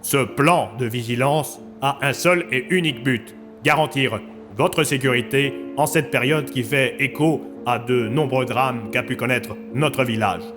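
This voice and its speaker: A man's voice: medium pitch, measured pace, music in the background, close to an everyday voice. Man playing a formal, hesitant village mayor. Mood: solemn. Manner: formal, hesitant public speaking. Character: earnest, official village mayor addressing the public. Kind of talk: audio drama acting.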